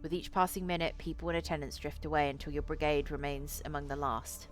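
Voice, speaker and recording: A woman streamer with a low voice reading a visual novel aloud, dropping in casual, sweary asides. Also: fast, soft background music. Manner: sweary, excitable reactions in asides.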